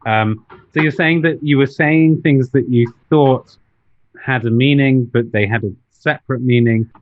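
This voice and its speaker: A man's voice: medium pitch, a little slow, narrow, tinny audio, slight background noise. A man hosting a podcast interview, encouraging his guest and nodding along with short replies. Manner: encouraging, frequent backchannels. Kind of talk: interview, casual talk.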